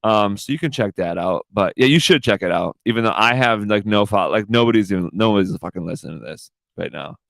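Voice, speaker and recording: A man's voice: on the low side, lively intonation, fast, creaky, hardly any pauses, dry recording. Rambling, swearing young man on a podcast. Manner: profane, laid-back, rambling, says "dude" often. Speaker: podcast host. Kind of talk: rambling casual monologue. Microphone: studio podcast microphone.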